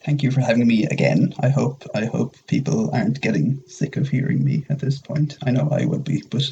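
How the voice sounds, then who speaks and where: A man's voice: medium pitch, brisk, creaky, dark tone, highs cut off, slight background noise. A man chatting casually as a podcast guest, talking in a rambling, conversational way. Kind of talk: casual chat.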